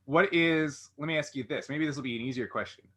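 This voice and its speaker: A man's voice: fairly high pitch, brisk, sharp tone. A man hosting a casual interview, thinking aloud in a rambling, conversational way. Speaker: interview host.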